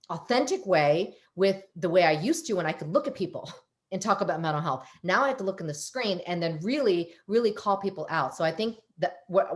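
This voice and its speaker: A woman psychologist speaking animatedly on a panel over a video call, with a loud, emphatic voice. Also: lowish voice. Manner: animated, emphatic, self-correcting.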